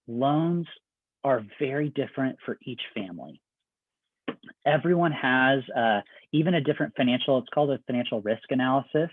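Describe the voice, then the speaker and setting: A man's voice: medium pitch, lively intonation, moderate pace, dry recording. Man explaining things over a tinny line. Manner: conversational, explains step by step with everyday examples. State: voice getting raspy. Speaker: admissions counselor. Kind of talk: informational presentation. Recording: dry, phone-like video call audio.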